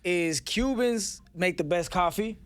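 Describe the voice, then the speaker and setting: A man co-hosting a radio segment who needles his colleague with teasing skepticism. His voice is fairly high and bright. The studio sound is dry and close. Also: unhurried, clean tone, dry recording. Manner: teasing, skeptical, laughing often. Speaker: radio co-host. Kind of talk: casual studio banter.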